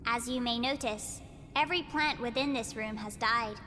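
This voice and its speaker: A woman playing a calm, upbeat guide who explains things in a formal, measured way. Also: on the high side. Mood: explaining. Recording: long reverb with music behind.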